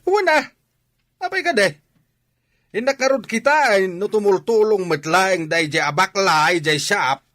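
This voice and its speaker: Casting: a harsh, contemptuous father for radio drama, gruff scolder, slightly high-pitched with big swoops. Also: animated, measured pace, a bit bright. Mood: irritated. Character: angry, harsh father scornful of his effeminate son.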